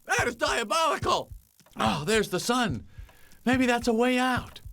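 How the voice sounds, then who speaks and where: A man voicing a wisecracking, neurotic young bee in a cartoon game, wry and given to exclamations, with loud music behind him. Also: very high voice, very animated, measured pace, creaky, heavily acted. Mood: weary, exasperated.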